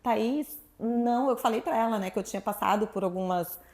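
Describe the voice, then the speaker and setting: A talkative woman from Salvador, a guest sharing her story in a relaxed interview. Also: fairly high pitch, brisk. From Salvador, Brazil. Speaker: guest, sexuality professional. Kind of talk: casual interview chat.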